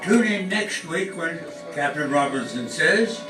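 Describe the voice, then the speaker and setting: Radio-show announcer, male, scripted narration, fairly high voice, roomy live sound recorded away from the mic. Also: expressive, measured pace. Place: live stage show in a room. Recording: distant mic, echoey hall, loud music behind.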